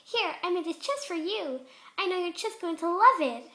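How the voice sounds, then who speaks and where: A woman voicing an excitable, gushing, bubbly young female party pony from a cartoon fan story. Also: extremely high pitch, normal speed, voice pushed up, full character voice. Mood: playful, eager.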